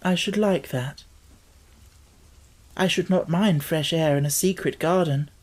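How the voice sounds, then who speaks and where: A woman's voice: deep voice, unhurried, a little mellow. A woman voicing a sickly, imperious young boy who is curious and insistent in manner. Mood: dreamy. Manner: demanding, insistent.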